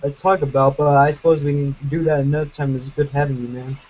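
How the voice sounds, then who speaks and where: Young male host, fairly high voice, casual chat, lo-fi call, light background noise. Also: fairly even intonation, quick pace, a little mellow. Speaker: stream host. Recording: dull noisy internet call audio.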